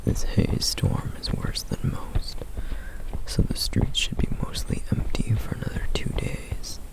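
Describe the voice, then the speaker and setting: A man voicing a protective, duty-bound male flame demon in a whispered ASMR roleplay, hushed, measured and dryly sardonic. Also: lightly acted, rough recording. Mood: guarded.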